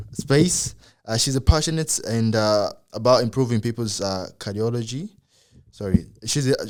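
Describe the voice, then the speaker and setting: A man's voice: medium pitch, a little mellow. A young adult man hosting an interview show, speaking casually with many uhs and restarts. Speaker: podcast host.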